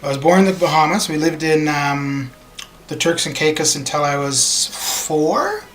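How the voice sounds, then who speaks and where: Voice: mid-range voice, lively intonation, moderate pace, fairly bright tone. A streamer in their thirties from northern Canada, chatting casually with viewers in a rambling, hesitant way with many fillers. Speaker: game streamer. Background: faint background music.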